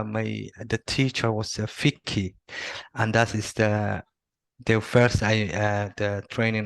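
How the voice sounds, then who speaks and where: A middle-aged man from Somalia, not a native English speaker, answering interview questions haltingly with many fillers and restarts. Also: lowish voice, clear, resonant tone, no room sound. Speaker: interviewee, Salesforce admin. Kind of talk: video interview.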